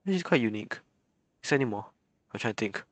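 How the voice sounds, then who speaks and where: A man's voice: lowish voice, expressive, fast, dry recording. A man doing casual livestream game commentary, rambling and hesitant as he thinks aloud. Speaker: game streamer.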